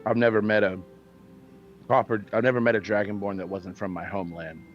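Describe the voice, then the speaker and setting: A man's voice: lowish voice, fairly even intonation, fairly quick, dull audio, faint music behind, natural voice. A man playing a friendly, curious adventurer in a tabletop fantasy game, chatty and apologetic, often repeating his words. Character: friendly, polite, curious adventurer with bugbear lineage.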